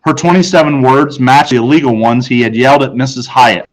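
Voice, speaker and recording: A man reading a children's story as expressive scripted narration, dramatic and with deliberate pauses. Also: mid-range voice, poor audio. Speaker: audiobook narrator.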